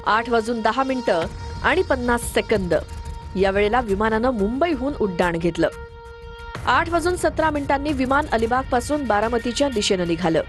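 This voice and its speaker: A woman reading a scripted news narration. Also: fairly high pitch. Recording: voice-over with prominent music bed. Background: background music.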